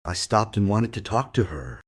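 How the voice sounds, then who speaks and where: A man playing a guilt-ridden, defensive middle-aged father giving a halting confession in a crime radio play. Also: lowish voice, sing-song, slightly rough. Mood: subdued, explaining.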